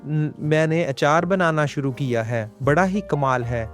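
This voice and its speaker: A man voicing an earnest, humble village farmer and husband in a Hindi cartoon story, with expressive delivery. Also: fairly high pitch, unhurried, natural voice. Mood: cheerful, eager. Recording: background music under the voice.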